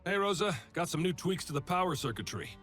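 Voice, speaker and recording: Cocky young genius inventor from a game, higher voice, bouncy delivery, faint music. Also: male voice, big pitch swings, quick pace, fairly bright tone, full character voice. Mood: wry, upbeat. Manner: confident, wry, quippy. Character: cocky, witty young male inventor hero. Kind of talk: game voice acting.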